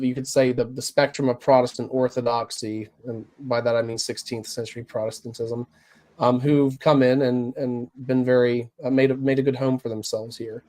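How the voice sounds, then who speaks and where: A man who is a priest, talking earnestly in a casual interview, his voice raspy as he recovers from bronchitis, with many fillers and restarts. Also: mid-range voice, warm tone. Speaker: Anglican priest, guest.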